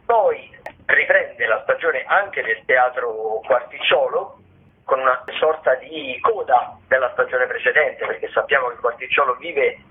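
Italian guy chatting through theatre listings on radio, kinda husky, sounds like he's on a phone. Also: mid-range voice, a little slow, narrow, tinny audio. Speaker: radio arts programme presenter. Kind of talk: conversational theatre listings commentary.

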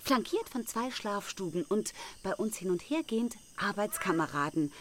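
A woman's voice: medium pitch, lively intonation, moderate pace, a little hiss or hum, lightly acted. An actress playing a headstrong, idealistic young intellectual woman in a radio play, speaking earnestly and emphatically. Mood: earnest, resolute. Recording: outdoor ambience with chirping insects.

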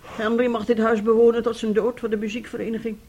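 A woman's voice: a little high, flat delivery, fast talker, warm tone, lo-fi sound, slight background noise, close to an everyday voice. An actress playing an embittered, despairing middle-aged mother in a Dutch radio play, speaking in a dramatic, weary, confiding manner. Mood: weary, confessing.

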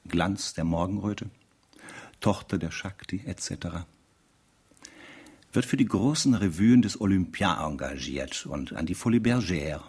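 A man's voice: deep voice, vocal fry, a little mellow, breathy edge, exaggerated voice. An actor playing a sardonic, weary male investigator who narrates a spy case theatrically in a radio play. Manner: sardonic, theatrical, rhetorical asides.

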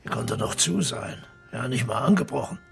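A man's voice: mid-range voice, animated, normal speed, gravelly, soft background music. An actor playing a bitter older widowed man in a radio drama, blunt and colloquial, speaking in terse fragments. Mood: brooding, reminiscing. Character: gruff, bitter old widowed drinker defending his friend. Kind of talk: naturalistic radio drama dialogue.

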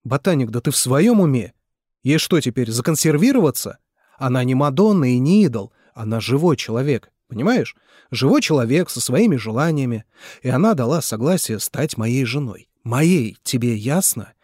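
Guy voicing a loud cocky young veteran, husky, yelling, dry. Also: mid-range voice, big pitch swings, moderate pace, no echo. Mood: scornful. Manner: loud, scornful, shouting. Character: brash young man, army veteran and rival.

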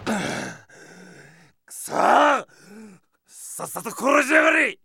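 High-strung, brutish bandit chief. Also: male voice, very animated, slow pace, no echo, clean studio sound, full character voice. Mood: enraged. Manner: rough, coarse shouting. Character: brutish, hot-tempered male bandit chief, sneering and menacing. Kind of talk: anime-style audio drama acting.

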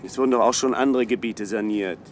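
An actor playing a naive, idealistic scientist in a German science-fiction radio play, questioning and earnest, with an unsettled manner. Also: male voice, mid-range voice, quick pace, a little hiss or hum, close to an everyday voice. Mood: dismayed. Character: naive, idealistic male scientist, troubled and well-meaning.

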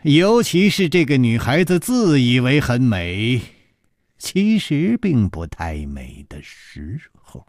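Roguish master-thief hero performed by a male reader: suave, mocking, slow, with very animated intonation. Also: mid-range voice, no room sound, studio quality. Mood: teasing. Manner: unhurried, playful, mocking.

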